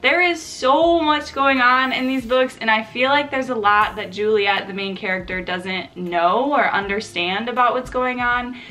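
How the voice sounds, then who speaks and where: Bright-voiced chatty young woman. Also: on the high side, a little slow, few pauses. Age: 20s. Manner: chatty, lots of "like" fillers, gushing and critical about books. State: congested and sore-throated from allergies. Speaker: book vlogger. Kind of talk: casual chat to camera.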